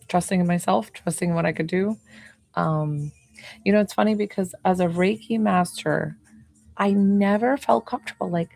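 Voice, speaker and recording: A woman in her forties, an intuitive healer, chatting casually on a live stream, talking softly with a slightly hoarse voice and many fillers. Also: mid-range voice, rough recording.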